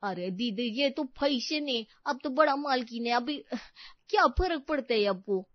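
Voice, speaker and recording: A woman's voice: high voice, expressive, unhurried, dry recording, lo-fi sound. A woman voicing a cheeky, scheming housemaid with attitude in a cartoon moral story. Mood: brazen.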